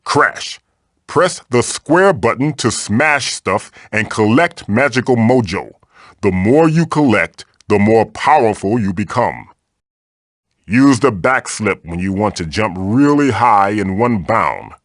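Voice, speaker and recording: A man's voice: on the low side, lively intonation, slow pace, dry recording, clean studio sound. A man voicing an old magical mask, a bossy, wisecracking mentor guiding the player in a video game with theatrical, wry delivery. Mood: instructive.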